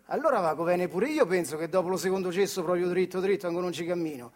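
A working-class factory hand from a stage monologue, with a notably high male voice and a central Italian Marche accent. He tells his life colloquially, earthy and wry, in the manner of radio theatre storytelling. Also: fast. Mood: wry narrating. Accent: Marche, central Italy. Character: Adriano, working-class old factory man telling his childhood memories. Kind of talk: theatrical monologue storytelling in dialect-tinged speech.

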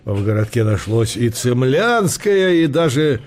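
A man reading literary narration in an unhurried, characterful storytelling manner. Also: mid-range voice, very animated, a little mellow. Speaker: narrator of an audio drama.

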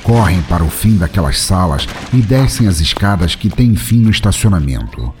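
A man's voice: sits low, sing-song, normal speed. A man with a Brazilian accent delivering scripted narration for a comic action story. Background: music.

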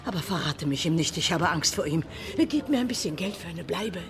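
A woman's voice: fairly low pitch, expressive, brisk, crowd noise, natural voice. An actress playing a bitter, mocking, down-and-out woman of the docks in a German radio play. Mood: insistent. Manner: jeering, bitter, theatrical.

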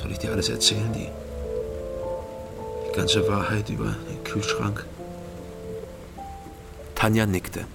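A melancholy private detective tells his story in a radio crime drama. The voice is low with a gravelly edge, measured and guarded like a confession, over clear background music. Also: fairly even intonation. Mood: confessional. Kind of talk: radio drama first-person narration and dialogue.

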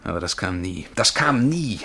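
An actor playing a haunted young man who recounts a dream of being a galley slave, telling it intensely and haltingly in a German radio play. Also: low voice, expressive, pushed and bright, slightly rough, heavily acted. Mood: bitter, brooding. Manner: intense, vivid, halting at times. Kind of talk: German radio play acting, dramatic storytelling.

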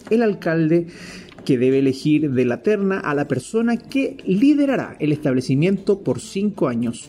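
Chilean male radio reporter, higher voice with animated intonation, scripted news read over music. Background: music bed.

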